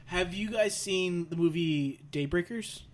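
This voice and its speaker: A man's voice: high voice, a little slow, crowd noise. A man co-hosting a podcast in casual, informal group chat. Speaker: podcast co-host.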